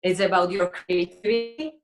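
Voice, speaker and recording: A woman in her forties gives a conversational interview as a guest. Her English carries a Slovak accent, and her voice sits a little on the low side. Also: steady tone, moderate pace, music in the background. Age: 40s. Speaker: interview guest, fashion model.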